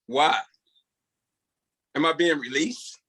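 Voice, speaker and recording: A man's voice: a little high, slow, sharp tone, husky, heavily acted. A man playing a nervous, defiant prison inmate at a parole hearing in a script read, agitated and protesting. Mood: puzzled. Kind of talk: script table read acting.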